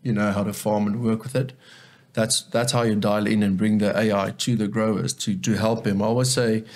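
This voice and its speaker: A man's voice: fairly low pitch, level tone, brisk, a little mellow. A South African man whose first language is Afrikaans, speaking earnestly as a guest in a casual interview and often repeating words as he thinks. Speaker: guest, agronomist.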